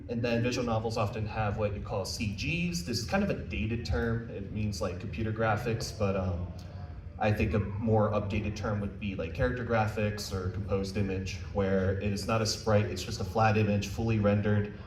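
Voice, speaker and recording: A man's voice: fairly low pitch, normal speed, darkish tone. An American man giving an informal presentation to a live audience, with a casual, self-deprecating manner and jokey asides. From United States. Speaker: convention panel presenter. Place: indoor convention panel room. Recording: roomy, distant mic, faint noise.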